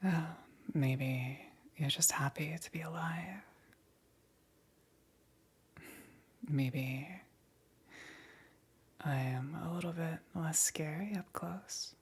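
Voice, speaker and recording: A woman playing a powerful demon queen, gentle yet calmly menacing, in a breathy whispered ASMR roleplay with long pauses. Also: low voice, full character voice. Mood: soothing, teasing. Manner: slow, soft, coaxing, with long pauses.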